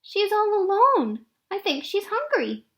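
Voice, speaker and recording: An eager, kind-hearted young schoolgirl from a storybook, acted out by a woman reading aloud. The voice is very high and swings widely in pitch, earnest and expressive. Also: a little slow, heavily acted.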